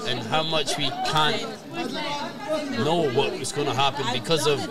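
A man telling a joke and bantering loudly, swearing freely, at an outdoor bar table. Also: mid-range voice, steady tone, moderate pace. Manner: rowdy, swearing, storytelling. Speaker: podcast co-host. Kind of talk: loud casual podcast banter. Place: outdoor bar terrace at night. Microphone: table mic on stand. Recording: loud bar music and crowd. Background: loud music and crowd.

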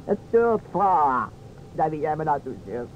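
A man's voice: a little high, very animated, unhurried. An actor playing a tall, thin, sardonic policeman in an old radio play. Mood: anguished. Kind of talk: old-time Dutch radio play acting. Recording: dull old radio recording, faint hiss.

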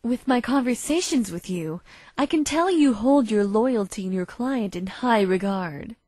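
A woman playing a cold, calculating female unicorn blackmailer in a visual novel fan dub, speaking in a smug, measured, threatening way. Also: on the high side, animated, darkish tone, no room sound. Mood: coolly threatening. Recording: faint music behind.

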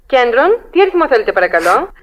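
An actress playing a brisk, formal telephone operator, polite and detached, in a radio drama. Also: female voice, on the high side, big pitch swings. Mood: brisk, businesslike.

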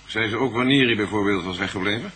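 An actor playing a shrewd, brisk police chief inspector in a radio crime drama, dry, probing and authoritative. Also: male voice, on the low side, sharp tone. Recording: noisy old radio drama recording.

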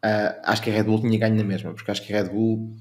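A man's voice: lowish voice, level tone, racing. A man with a European Portuguese accent, co-hosting a casual podcast chat, hesitant and repeating words as he argues his opinions. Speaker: podcast co-host. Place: remote recording.

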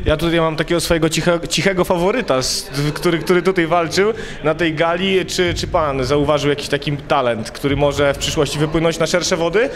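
A man's voice: on the high side, steady tone, fairly quick, hardly any pauses. A man in his thirties interviewing for a web TV channel, asking questions hesitantly with fillers, holding a handheld mic in an indoor event hall.

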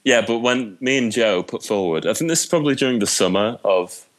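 A young man with an English accent and a very low voice, chatting casually as a podcast guest from his bedroom, rambling with lots of fillers. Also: lively intonation, fairly quick. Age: young adult. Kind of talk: casual chat.

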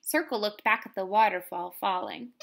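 A woman reading a children's storybook aloud expressively, with deliberate, dramatic phrasing. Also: medium pitch, vocal fry. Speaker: storybook reader, teacher. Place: room at home.

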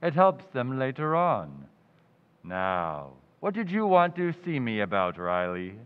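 Man voicing a pompous undertaker, slow, lilting, tinny and echoey. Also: medium pitch, wildly swooping pitch, smooth. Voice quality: pompous, drawn-out and punning. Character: pompous middle-aged male undertaker Digger, smug. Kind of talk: 1940s radio comedy acting. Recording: tinny, reverberant lo-fi audio.

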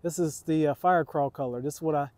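A man's voice: fairly high pitch, brisk, darkish tone, no room sound. A middle-aged man hosting an outdoor fishing vlog, talking casually to his viewers from a waterfront dock. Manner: chatty, addresses viewers as guys. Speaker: fishing channel host. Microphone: camera on a tripod, sometimes selfie-held.

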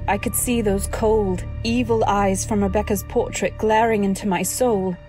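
A woman's voice: medium pitch, steady tone, moderate pace, dark tone, natural voice. Woman acting an anxious, earnest young wife, music behind. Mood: horrified. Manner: dramatic, earnest delivery. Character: anxious, devoted young wife narrating her story. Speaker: narrator and actor. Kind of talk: old-time radio drama acting.

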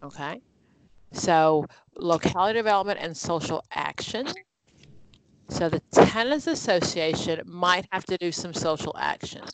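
A woman's voice: on the low side, animated, a little slow, background noise. A woman leading an online exam-prep study group, explaining answers with everyday examples in a conversational way over a video call.

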